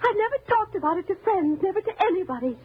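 A woman's voice: on the high side, animated, moderate pace, lightly acted. Woman acting timid wife turned murderous, old radio. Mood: tearful hatred. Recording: noisy, narrow-band old radio recording.

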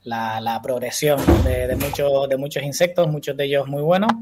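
A man calling into a radio show, easygoing and a bit halting. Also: mid-range voice, steady tone, very noisy. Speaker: phone-in guest farmer. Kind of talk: phone call on radio.

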